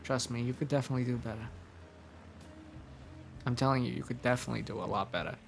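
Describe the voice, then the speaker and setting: A man voicing a jaded, gruff tough guy who talks bluntly and makes mock threats, in an audio roleplay. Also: medium pitch, steady tone, quick pace, faint music behind, close to an everyday voice. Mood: weary. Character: weary, gruff man, jaded and teasingly menacing.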